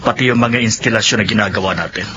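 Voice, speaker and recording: An actor playing a glum, worried family man and laborer in a radio drama, speaking gravely. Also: lowish voice, fast talker, bright, forceful tone. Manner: grave, downcast. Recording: dull, noisy radio broadcast.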